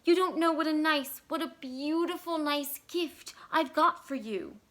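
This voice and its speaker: A woman plays an eager young wife from a storybook, pitched high and bright. She gushes and pleads tenderly, stressing her words. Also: measured pace. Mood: pleading, eager. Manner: gushing, emphatic, pleading. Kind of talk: dramatized short story reading.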